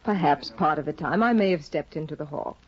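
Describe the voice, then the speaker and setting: An actress playing a cold, proud aristocratic young wife in a 1950 radio drama, cool, clipped and imperious. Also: female voice, low voice, a little fast, natural voice. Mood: guarded. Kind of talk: 1950s radio drama acting. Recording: dull lo-fi 1950 radio broadcast.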